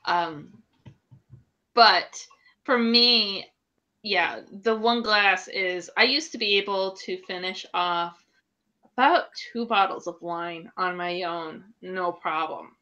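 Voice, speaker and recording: A woman in her late thirties, co-hosting a casual chat podcast over a video call, candid and quick to laugh. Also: fairly low pitch, pushed and bright. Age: late 30s. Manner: candid, laughing, swears freely. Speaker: podcast co-host. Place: home room at night. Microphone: video call webcam. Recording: dull lo-fi webcam audio.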